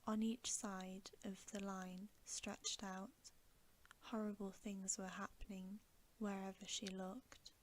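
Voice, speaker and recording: A woman reads a bedtime story in a hushed, breathy ASMR whisper. Her delivery is slow and very even in pitch, gentle and measured throughout. Faint ambient noise sits behind her voice. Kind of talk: ASMR bedtime audiobook reading.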